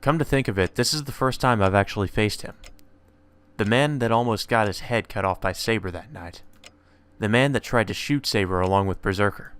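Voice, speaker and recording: A man's voice: on the low side, fairly quick, a bit bright, smooth. A man reading visual novel narration aloud as the narrator of a let's play.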